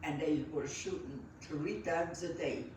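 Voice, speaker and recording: A woman, recalling her life in an interview in a halting manner full of fillers and restarts. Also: low-pitched, a little mellow, a little hiss or hum. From Belgium. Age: 90s. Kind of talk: reminiscing storytelling. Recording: echoey room, voice set far from the mic.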